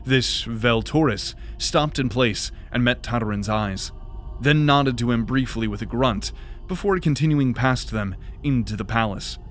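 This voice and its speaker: A man reading scripted fantasy narration in a measured, dramatic way for an audio drama. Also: mid-range voice, soft background music.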